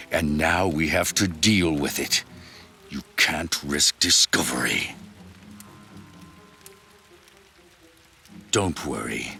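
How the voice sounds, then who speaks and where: An actor playing a sinister old cult patriarch in a video game cutscene, ominous and deliberate, with a gravelly voice. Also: male voice, bright, forceful tone, full character voice. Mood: stern. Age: older. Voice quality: very deep, gravelly. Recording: music under the voice.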